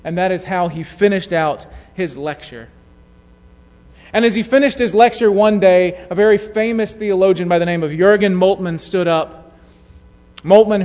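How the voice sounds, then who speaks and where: A man preaching a sermon, telling a story in a measured way that drops to a hush at key moments. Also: high voice. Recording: long hall reverb.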